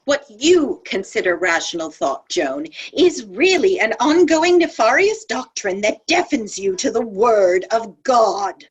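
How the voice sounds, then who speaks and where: A woman's voice: a little high, sing-song, measured pace, few pauses, slightly rough, full character voice. A woman playing a hostile medieval accuser in a dramatic script read, mocking and accusatory. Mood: accusing, mocking.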